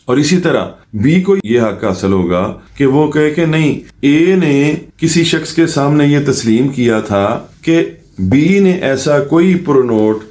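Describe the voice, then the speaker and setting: A man with a Pakistani accent lectures on law in a mid-range voice. His delivery is formal and methodical, built for explanation. When he quotes statutes in English, he slows to a careful, deliberate reading. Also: medium pitch, a little mellow. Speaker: lawyer giving law lessons. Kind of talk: explanatory legal lecture to viewers.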